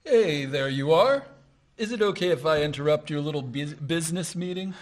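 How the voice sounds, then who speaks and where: An actor playing a smug, patronizing wealthy suitor. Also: male voice, a little high, animated, normal speed, lightly acted. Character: smug condescending rich suitor. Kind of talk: stage-play acting.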